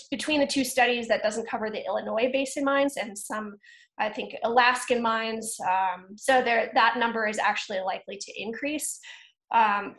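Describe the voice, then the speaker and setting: A woman in her thirties giving a webinar presentation over a video call, speaking in a measured, earnest way with frequent ums and uhs. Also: on the high side, a bit bright. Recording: echoey video-call audio.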